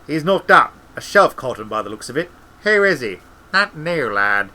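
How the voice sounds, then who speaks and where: A man's voice: a little high, very animated, normal speed, bright, forceful tone, exaggerated voice. A man voicing a brusque senior wizard in a storybook, grumbling and bossy. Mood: curt, curious.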